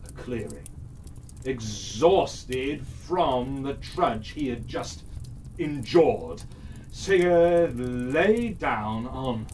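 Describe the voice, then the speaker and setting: A male storyteller narrates an old fairytale for children with theatrical suspense. He speaks extremely slowly, letting phrases hang. The voice sits at a distance from the microphone, with faint noise behind it. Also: medium pitch. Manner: theatrical, drawn-out delivery with suspenseful pauses and big swells.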